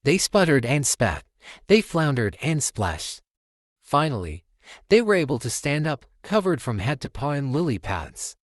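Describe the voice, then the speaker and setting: A man reads a children's storybook in an expressive, animated way. His middling voice moves freely up and down at an unhurried pace. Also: medium pitch, no echo, studio quality. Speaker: storytime narrator.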